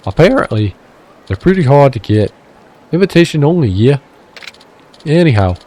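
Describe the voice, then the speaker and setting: A pushy, wheeling-dealing male fixer with a wobbly Minnesotan twang, all folksy threats and favors, as a man puts him on. His voice sits in an ordinary middle range, neither deep nor high. A faint hiss runs underneath. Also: mellow. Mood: smug. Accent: attempted Minnesotan.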